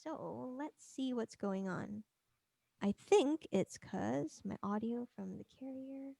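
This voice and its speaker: A woman with a fairly high voice leads a music software workshop. She talks informally and thinks aloud while demonstrating. The sound is dry and close, with little room in it. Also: takes it slowly, gravelly, no echo. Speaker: presenter of a music production workshop.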